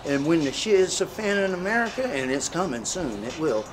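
A man's voice: fairly high pitch, very animated, brisk. Earnest, preachy man on a noisy street. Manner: preachy, earnest, fillers like "you know". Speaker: interviewee. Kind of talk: street interview, casual storytelling.

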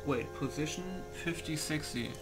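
A man with a fairly high, gravelly voice talks to himself through a casual gaming video. He reads menu settings aloud at an unhurried pace and mutters loose asides. Music plays behind him. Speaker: gamer recording a mod setup. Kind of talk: casual game commentary, reading settings aloud.

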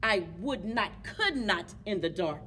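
A middle-aged woman giving an expressive, rhyming children's read-aloud at a library story time. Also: medium pitch, measured pace. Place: public library, among bookshelves. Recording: clear background room noise.

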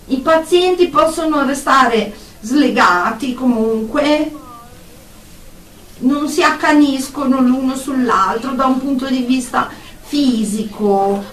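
In an echoey room and away from the mic, an actress plays an anxious woman psychotherapist in a radio drama. Her voice is high and slow, with a halting, apologetic delivery. She sounds deferential, as if reporting to a superior by phone. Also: recorded from a distance. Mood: worried, hesitant. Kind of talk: radio drama monologue, one side of a phone call.

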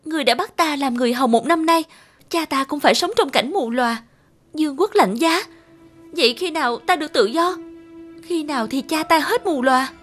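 A gentle but brave young cartoon princess. Her voice sits extremely high and sounds earnest and plaintive, with music playing clearly underneath. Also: a little fast. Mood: pleading, impatient. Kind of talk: children's cartoon acting.

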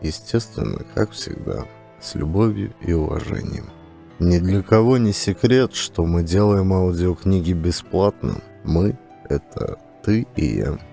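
A man's voice: low-pitched, lively intonation, unhurried, gravelly. A man reading an audiobook and then thanking and addressing his listeners earnestly. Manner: earnest, warm direct appeal to listeners. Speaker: audiobook narrator. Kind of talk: scripted audiobook narration. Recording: faint background music.

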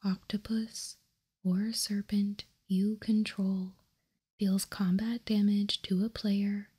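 Female ASMR host, very breathy whisper, mellow and even, calmly walking through trading cards. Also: mid-range voice. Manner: soft, slow, measured, calming. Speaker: host of a card ASMR channel.

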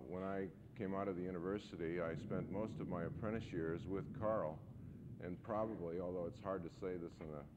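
Deep-voiced man introducing a guest speaker. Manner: measured, halting, with frequent uh pauses. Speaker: architecture school host introducing a guest speaker. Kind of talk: formal lecture introduction. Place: lecture hall. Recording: dull, faintly noisy 1970s videotape.

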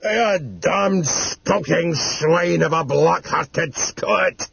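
Ranting old man, high and strained. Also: big pitch swings, slightly rough, no room sound, lo-fi sound, heavily acted. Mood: furious. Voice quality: strained, bellowing. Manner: ranting, cursing in drawn-out outbursts. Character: dying bedridden old grandfather, raging and blasphemous.

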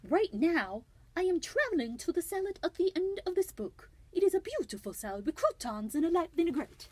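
A woman's voice: very high voice, very animated, fairly quick, slight background noise, voice pushed up. A woman voicing a silly, vain storybook snail with an exaggerated mock French accent and a pompous, theatrical manner. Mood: grand, flirty. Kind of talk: storybook read-aloud character.

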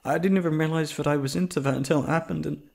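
A man's voice: fairly high pitch, fairly even intonation, rapid-fire, gravelly, a little mellow, voice pushed up. A man playing a shy, lovestruck young man rambling hesitantly and breathily in an ASMR roleplay. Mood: flustered, sweet. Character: shy, flustered, lovestruck young man after a first date.

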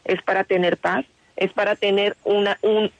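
A woman's voice: medium pitch, level tone, moderate pace, no room sound, lo-fi sound. A woman answering a radio interview with earnest insistence and frequent restarts. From Colombia. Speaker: interview guest.

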